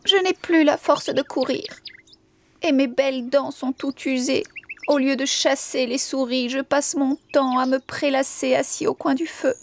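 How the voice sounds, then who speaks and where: A woman voicing a worn-out old cat with a plaintive, drawn-out delivery in a children's cartoon dub. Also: sits high, fairly even intonation. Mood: sad, plaintive. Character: sad, worn-out old cat with blunt teeth.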